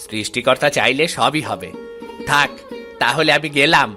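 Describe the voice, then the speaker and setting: A man's voice: medium pitch, moderate pace, pushed and bright, soft background music. A man playing a cheerful older village neighbour in a cartoon moral tale, hearty and friendly. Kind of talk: animated moral-tale dialogue.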